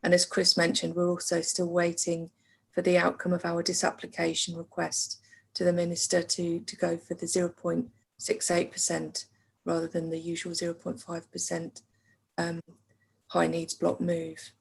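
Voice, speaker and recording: A woman giving a measured briefing on funding at a council meeting, pausing often on fillers. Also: fairly low pitch, monotone, vocal fry, breathy edge, rough recording. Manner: measured, with frequent hesitation fillers. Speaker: council finance officer.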